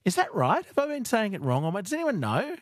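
A man's voice: sits high, huge pitch swings, a little fast, no room sound. An Australian man hosting a live radio show in a studio, chatting with playful, wry banter.

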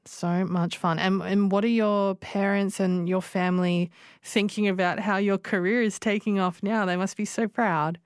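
A woman's voice: medium pitch, little pitch movement, normal speed, dry recording. A woman hosting a casual radio interview in a studio, encouraging and chatty with many fillers. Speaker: radio show host.

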